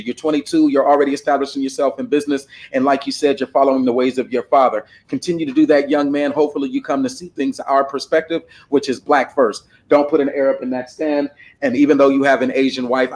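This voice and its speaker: A man's voice: mid-range voice, a little mellow. A man hosting a casual livestream panel discussion, talking bluntly and with plenty of profanity over a desktop microphone. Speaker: panel host.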